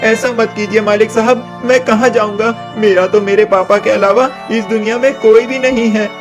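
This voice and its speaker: Man acting high-pitched little orphan cartoon boy. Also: fairly even intonation, moderate pace, over music, natural voice. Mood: pleading. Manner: plaintive, earnest. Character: poor, pleading young orphan boy. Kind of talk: Hindi moral-story cartoon dub.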